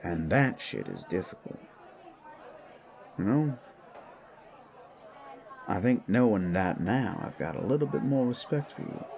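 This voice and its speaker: A man playing a wise, frank supernatural mentor who gives blunt, measured counsel in an audio drama. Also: fairly low pitch, very animated, creaky, a little mellow, a faint crowd, heavily acted. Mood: frank, sympathetic. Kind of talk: scripted audio drama dialogue.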